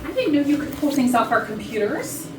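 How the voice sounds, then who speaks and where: Woman acting high, sarcastic office manager, roomy recording. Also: very animated, brisk. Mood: indignant, defensive. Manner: sardonic, indignant. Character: sarcastic, defensive female HR manager, murder suspect. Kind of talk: staged reading of a comedy play. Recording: echoey, distant, noisy rehearsal recording.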